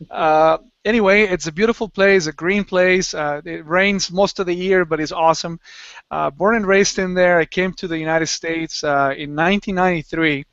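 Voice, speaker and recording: A man's voice: high-pitched, fairly even intonation, normal speed, fairly bright tone, clean tone, no room sound. A man from Colombia, a guest on a video call, chatting casually and laughing between phrases. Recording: muffled lo-fi video call audio.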